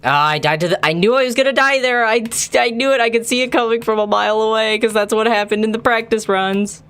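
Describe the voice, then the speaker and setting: A man's voice: high-pitched, fast talker, fairly bright tone, smooth. A man live-streaming a game, talking casually and loosely to his viewers. He rambles from one thought to the next, answers chat, and swears now and then. Faint game music plays underneath. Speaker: gaming streamer. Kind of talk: casual live-stream commentary while playing.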